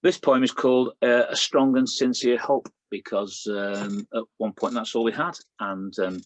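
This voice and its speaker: A man's voice: medium pitch, fairly even intonation, moderate pace. A middle-aged man with a Lancashire accent reading his poems aloud, with chatty asides and fillers between them. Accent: Lancashire English. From Lancashire, England. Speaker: poet. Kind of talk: poetry reading with spoken introductions.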